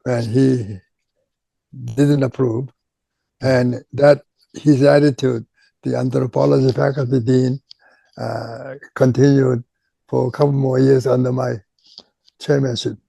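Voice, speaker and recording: Halting, gravelly older man with a Korean accent. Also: medium pitch, flat delivery, mellow, no room sound, poor audio. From Japan. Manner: halting, repeats words, frequent uh pauses. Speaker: retired chemistry professor. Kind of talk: oral history interview.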